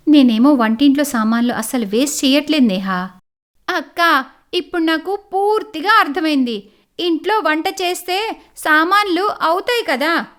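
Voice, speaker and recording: Female story reader, high-pitched, performing family conversations. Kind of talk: acted family story dialogue.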